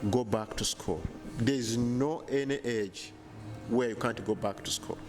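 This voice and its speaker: A man's voice: mid-range voice, music in the background. Ugandan man, 30s, earnest interview guest, mid-range voice, handheld mic indoors. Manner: earnest, measured, frequent restarts. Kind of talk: casual interview. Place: bright hotel lounge indoors. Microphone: handheld lapel mic.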